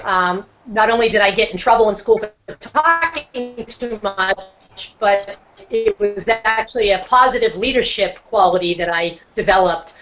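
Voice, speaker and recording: A middle-aged woman with a broad Boston accent talks freely and earnestly, like someone who has always loved to talk. Her voice sits at an ordinary pitch and comes through the muffled, lo-fi sound of a video call. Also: a little slow, a bit bright. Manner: talkative, earnest, self-described talker. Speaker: guest, motivational speaker. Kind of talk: casual online chat. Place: home setup on a video call. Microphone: computer microphone on a video call. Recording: dull lo-fi video-call audio.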